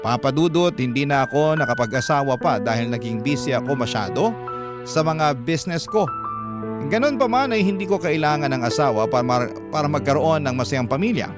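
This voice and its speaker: A man narrating a listener's letter in the style of a classic radio drama, in a steady storytelling cadence. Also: mid-range voice, brisk. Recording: music bed under voice. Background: loud music.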